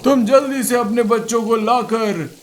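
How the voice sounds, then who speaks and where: A man's voice: very high voice, moderate pace. A man voicing a kindly magical talking tree in a children's cartoon, speaking in an earnest, declamatory way. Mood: urgent, protective. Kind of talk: Hindi children's cartoon dubbing.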